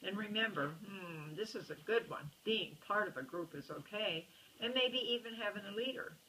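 A kindly old farmer bear, gruff and patient, drawling out folksy advice in a storybook reading, as a woman puts him on. The voice stays bright and a little lower than her own. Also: expressive, moderate pace, far from the mic, slight background noise, rough recording.

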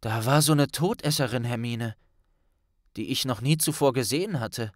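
A man's voice: medium pitch, normal speed, no room sound, clean studio sound, lightly acted. Man voicing an earnest, troubled boy wizard. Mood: puzzled, intent. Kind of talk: audiobook character dialogue.

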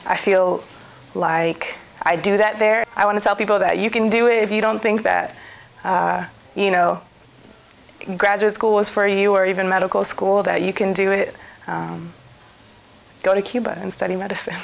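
An American woman in her twenties giving a thoughtful, halting personal testimonial as a medical student. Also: fairly low pitch, creaky, a little hiss or hum. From United States (Milwaukee, Wisconsin). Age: 20s. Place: small indoor room. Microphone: lapel mic.

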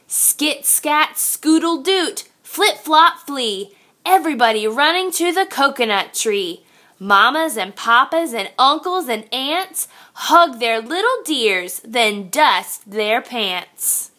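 A woman reads a children's picture book aloud at a very slow, deliberate pace. Her voice is high and lively, swinging up and down in an expressive sing-song way, like a teacher speaking to little kids.

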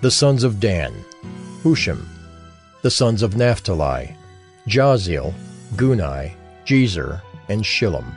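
Low, slow male Bible narrator. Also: very animated, soft background music. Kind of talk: dramatized scripture reading.

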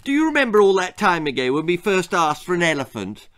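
A man voicing a spoiled, eager little girl in a storybook, whiny, excitable and bossy. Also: high-pitched, fast talker, no echo, studio quality. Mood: excited.

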